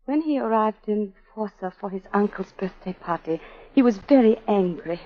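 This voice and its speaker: An actress playing a guarded, troubled governess in a clipped, dramatic 1940s radio style. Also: female voice, mid-range voice, lively intonation, moderate pace, close to an everyday voice. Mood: reflective. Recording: dull 1940s radio broadcast, music behind.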